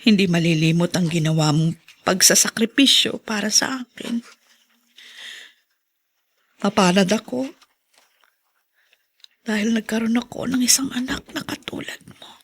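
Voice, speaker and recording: A woman's voice: medium pitch, unhurried, pauses often, slightly rough. Plaintive, grieving middle-aged mother. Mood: grieving, grateful. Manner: sentimental, plaintive. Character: devoted, grieving mother of a grown son. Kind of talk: TV melodrama acting.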